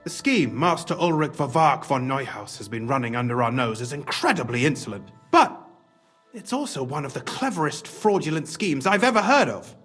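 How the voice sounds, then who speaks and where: High-pitched, excitable male schemer. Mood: calculating. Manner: exclamatory, conspiratorial, wordy and theatrical. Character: cunning, excitable scheming male mine official, smugly pragmatic. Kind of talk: video game cutscene acting.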